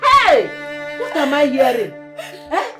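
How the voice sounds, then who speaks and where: An actress with a Nigerian accent playing an older woman who exclaims loudly and soothes others after a kidnapping. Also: sits high, very animated, slow pace, loud music behind the voice, heavily acted. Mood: distraught. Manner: loud exclamations, repeated soothing phrases. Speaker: actor. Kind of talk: Nollywood drama acting.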